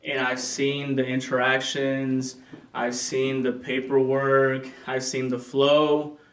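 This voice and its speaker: A young man from El Salvador and Honduras answers as a podcast guest, relaxed and measured, stretching out his phrases. He sits some way off the mic in a roomy space that carries an echo, and his pitch stays in a plain middle range. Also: slight background noise. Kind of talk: casual interview answers. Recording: roomy sound, voice from a distance.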